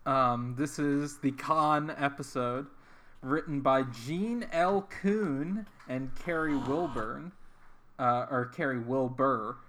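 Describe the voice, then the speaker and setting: A man's voice: mid-range voice, slow pace, fairly bright tone, slight background noise. A young adult man co-hosting a podcast, joking in casual chat and often restarting his words. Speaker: podcast co-host.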